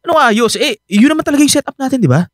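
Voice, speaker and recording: Troubled, defensive cheating husband, lively pitch. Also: male voice, racing, dry recording, studio quality. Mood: defensive, annoyed. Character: troubled, defensive unfaithful young husband and son. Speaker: radio drama actor. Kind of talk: Filipino radio drama acting and first-person narration.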